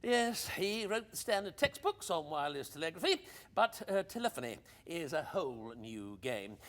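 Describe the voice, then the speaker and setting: A man's voice: fairly high pitch, very animated, normal speed, fairly bright tone. An actor playing a breezy, cheeky upper-class English ex-army captain in a radio play, with hearty old-boy banter. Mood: breezy, persuasive.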